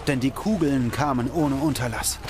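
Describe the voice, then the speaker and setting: A man's voice: mid-range voice, normal speed, noisy background, natural voice. A man playing a grim soldier who recalls battlefield carnage in a dramatised documentary. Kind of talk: dramatised documentary quote reading. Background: music and battle gunfire.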